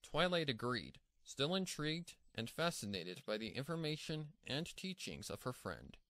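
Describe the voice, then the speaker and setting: Lively male story narrator. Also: medium pitch, moderate pace, no echo.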